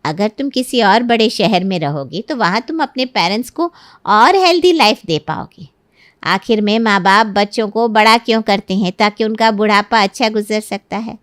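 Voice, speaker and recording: A woman's voice: mid-range voice, expressive, normal speed, no room sound, studio quality, close to an everyday voice. A woman voicing a scheming middle-aged mother of a bride in a cartoon, nagging and lecturing persuasively. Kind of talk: Hindi cartoon story acting.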